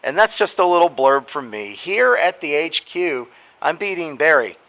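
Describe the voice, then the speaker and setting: Guy doing an informal gear show-and-tell, bright punchy voice, explains stuff with real emphasis. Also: a little high, lively intonation, moderate pace. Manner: emphatic, explanatory, talks straight to viewers. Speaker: storm chaser presenting radio gear. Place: garage partly sunk into the ground.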